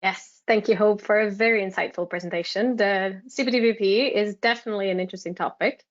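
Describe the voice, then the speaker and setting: A woman with a slightly hoarse voice from a cold, giving a formal, prepared webinar presentation. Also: mid-range voice, fairly even intonation, fast, no room sound. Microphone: online webinar call. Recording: dry, dull webinar audio.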